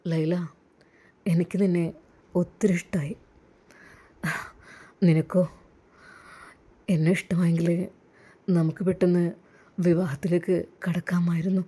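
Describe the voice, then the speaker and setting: A woman voicing a gentle, loving young male doctor who speaks softly and reassures his new wife. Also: fairly low pitch, little pitch movement, a little slow, pauses often, slightly rough, voice pushed down. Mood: tender. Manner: soft, tender, reassuring.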